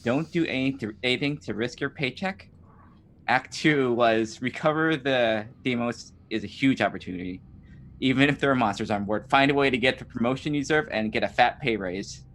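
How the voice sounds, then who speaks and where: Low-voiced woman chatting as a game player. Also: moderate pace, slight background noise. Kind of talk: casual chat.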